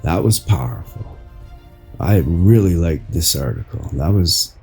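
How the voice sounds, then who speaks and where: A man narrates an inspirational piece in a soft-edged, mellow voice with a strongly husky grain. He takes it very slowly, phrase by deliberate phrase, over background music. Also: deep voice, lively intonation. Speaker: podcast host and article reader. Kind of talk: slow read narration of an inspirational article.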